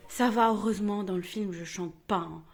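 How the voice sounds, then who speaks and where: A woman's voice: medium pitch, brisk. Chatty woman vlogger telling a story. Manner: chatty, self-mocking, lively quoted voices. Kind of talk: casual storytelling to camera. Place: at home.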